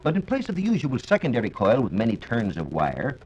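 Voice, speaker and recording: A man's voice: mid-range voice, wildly swooping pitch, a little fast, darkish tone, a little hiss or hum. An American man giving a formal, scripted explanation as a science reporter on 1940s radio. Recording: dull, lo-fi 1940s radio recording.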